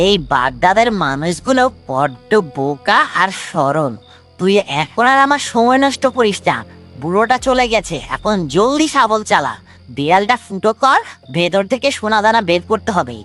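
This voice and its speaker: A woman's voice: mid-range voice, sing-song, moderate pace, a bit bright, soft background music. A woman voicing a sly male thief who poses as a humble mason in a cartoon story, theatrical and wheedling. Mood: bossy, urgent. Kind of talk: dramatic animated moral story.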